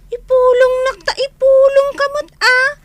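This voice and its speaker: A woman's voice: very high-pitched, flat delivery, a little slow, a little hiss or hum. A woman playing a bossy, conspiring child and tattletale in an Ilocano radio comedy drama.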